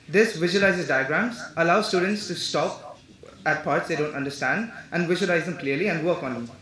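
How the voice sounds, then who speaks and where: A man walks an audience through his project, chatty and explanatory, posing questions and then answering them. His voice sits a little high. The room adds a clear echo around him. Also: fairly bright tone. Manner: explanatory, conversational, asks himself questions. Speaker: hackathon team presenter. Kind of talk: elevator pitch to an audience. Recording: echoey hall.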